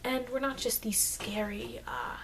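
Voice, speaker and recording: A woman playing a friendly, rambling vampire lecturer in an improvised ASMR roleplay, speaking in halting, chatty asides that aim to reassure her human listener. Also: steady tone, lightly acted. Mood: friendly, reassuring. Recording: noisy, low-grade microphone.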